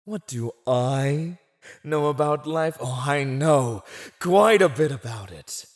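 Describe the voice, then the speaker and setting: A low, theatrical fantasy villain, who swoops widely in pitch as he taunts a captive. He is silver-tongued and condescending, drawing out his teasing words at an unhurried pace in the manner of an ASMR roleplay. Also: big pitch swings, exaggerated voice. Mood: gloating, scornful. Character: silver-tongued male fantasy villain, gloating, mocking captor. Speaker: voice actor.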